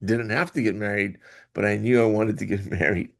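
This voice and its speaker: A middle-aged man from Hawaii, a guest in an interview, telling his story in a rambling way with restarts and soft laughs. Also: fairly low pitch, fairly even intonation, brisk, vocal fry. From Hawaii, Oahu. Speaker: guest, athletic director association executive. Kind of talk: casual interview talk.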